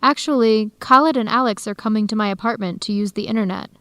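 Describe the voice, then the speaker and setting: A woman's voice: medium pitch, fast, fairly bright tone, no room sound, close to an everyday voice. A woman acting as a kind, conciliatory young girlfriend in a radio drama, speaking earnestly. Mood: earnest, pleading. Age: young adult. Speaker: actor in an educational radio drama.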